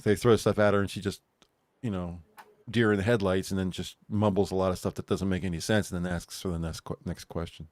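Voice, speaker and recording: A man's voice: low voice, steady tone, brisk, a little mellow, no echo. A man co-hosting an online talk show, rambling casually and laughing at his own jokes. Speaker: podcast co-host. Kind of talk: casual chat.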